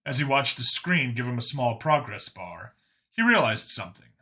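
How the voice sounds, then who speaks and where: A man's voice: medium pitch, very animated, moderate pace, bright, forceful tone. A man narrating scripted fiction as an audiobook reader, with dramatic delivery.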